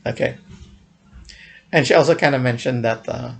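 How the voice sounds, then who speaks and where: A man's voice: mid-range voice, normal speed, vocal fry. A young man casually recounting a fan event in a vlog, rambling with many fillers and restarts, often chuckling. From United States.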